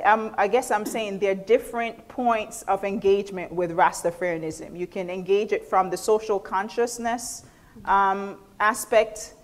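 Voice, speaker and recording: A middle-aged woman explains a subject to an audience in a thoughtful, halting way. Her voice sits at a medium pitch. She often stops mid-phrase to choose her words. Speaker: lecturer. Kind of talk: explanatory answer to an audience question. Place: indoor hall, speaking to an audience. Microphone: clip-on lapel mic.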